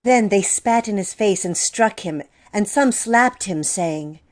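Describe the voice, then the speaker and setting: A woman narrating a scripture passage in a church drama, reading steadily and clearly. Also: mid-range voice, animated, slow.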